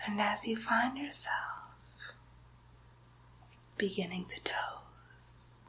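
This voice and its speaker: A woman's voice: medium pitch, sharp tone. A woman voicing a mischievous young demon girl posing as a nurse, whispering coaxingly and teasingly in an ASMR roleplay. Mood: soothing, sinister. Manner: slow, coaxing, teasing whisper with playful baby talk.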